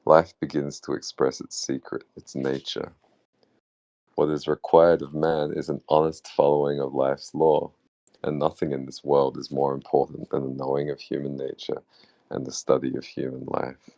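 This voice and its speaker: A man reads a spiritual text aloud in an extremely deep voice. It is heavy with vocal fry and recorded very dry. His phrasing is measured and deliberate. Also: level tone, mellow, no room sound. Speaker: participant reading in a book club.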